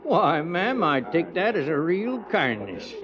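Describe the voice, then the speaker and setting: High, folksy frontier coot, dull recording. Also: male voice, sing-song, takes it slowly, full character voice. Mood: genial. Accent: rural American West. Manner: colorful homespun drawling talk. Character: folksy, crusty, good-natured frontier coot. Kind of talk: old-time radio western acting. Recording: dull old radio recording.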